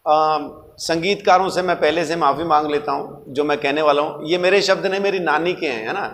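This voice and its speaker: Man, high-pitched voice, sermon, emphatic didactic delivery, rhetorical questions, reverberant hall. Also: fairly quick, a bit bright, a little hiss or hum. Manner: emphatic, didactic, repeats key words for weight. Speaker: preacher.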